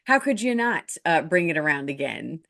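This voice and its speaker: Lady tv host, lowish voice, casual upbeat interview chat. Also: big pitch swings, moderate pace, gravelly, a bit bright. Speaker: talk show host.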